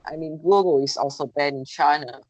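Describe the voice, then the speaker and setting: Low-voiced hesitant Vietnamese-speaking woman. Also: brisk, dry recording, poor audio. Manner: hesitant, searching for words, many fillers. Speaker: contestant. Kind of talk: English-speaking contest interview.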